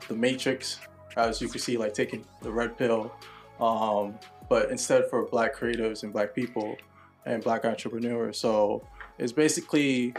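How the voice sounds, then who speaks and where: A young man from New York hosts a casual podcast chat. He talks in a relaxed, rambling way, leaning on ums and likes. Faint music sits underneath his voice. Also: medium pitch. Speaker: podcast host.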